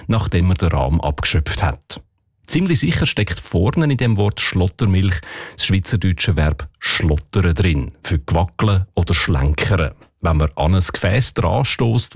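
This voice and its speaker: A man with a Swiss German accent presenting a scripted radio feature on word origins, in a measured, explanatory way. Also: low-pitched, a little mellow, breathy edge, no echo, studio quality. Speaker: dialect expert on radio.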